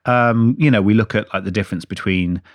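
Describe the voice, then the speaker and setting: A man's voice: on the low side, brisk, no room sound. A man who teaches music technology, giving a casual, rambling talk about student projects, full of fillers and restarts. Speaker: music education lecturer. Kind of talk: casual spoken lecture update.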